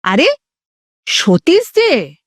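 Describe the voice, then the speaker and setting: A woman's voice: high voice, wildly swooping pitch, drawn-out words, husky, dry recording, clean studio sound, heavily acted. A woman voicing a wary, reluctant male friend in an audio story, conversational and questioning, teasing but timid. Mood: surprised.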